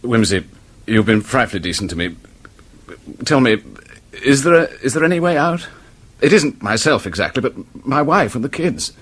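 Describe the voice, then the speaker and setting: A husky, remorseful English murderer. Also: male voice, mid-range voice, animated, a bit bright. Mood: desperate pleading. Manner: halting, stammering, old-fashioned public-school phrasing. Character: remorseful middle-class English office man confessing to murder. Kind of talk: radio drama acting.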